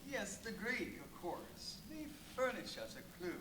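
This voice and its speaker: A woman playing a genteel, self-important, evasive vicar in a live radio play, speaking deliberately and pompously. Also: medium pitch, very animated, fairly bright tone. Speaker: stage radio play actor. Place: live performance at a brewery venue. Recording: echoey, noisy live stage recording.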